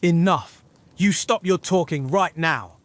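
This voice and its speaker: A man's voice: fairly high pitch, unhurried, no echo, close to an everyday voice. A man playing a hard-bitten, suspicious old wizard interrogator in an audio drama, scornful and commanding. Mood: distrustful. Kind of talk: fan audio drama acting.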